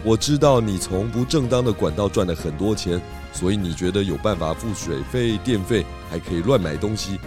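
Man as a low-voiced, confident pig detective. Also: brisk, few pauses, music in the background, close to an everyday voice. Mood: calm, lecturing. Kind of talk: children's audio drama acting.

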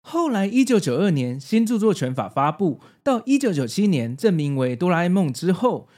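A man's voice: fairly high pitch, darkish tone. A man with a Taiwanese Mandarin accent hosting a nostalgic explainer in a conversational manner. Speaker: host and narrator.

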